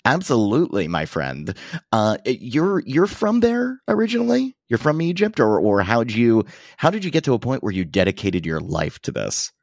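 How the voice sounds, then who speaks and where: A man hosting a podcast interview, talking in a halting way with many fillers and restarts. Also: medium pitch, sing-song, creaky, a little mellow, no room sound, studio quality. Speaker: podcast host. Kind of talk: conversational interview.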